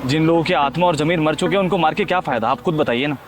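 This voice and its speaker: A man's voice: on the high side, rapid-fire, faint music behind, lightly acted. A man playing a poor, long-suffering vegetable seller who speaks politely but pointedly and moralizes in a short drama. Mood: resigned, moralizing. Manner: polite address, sarcastic, moralizing.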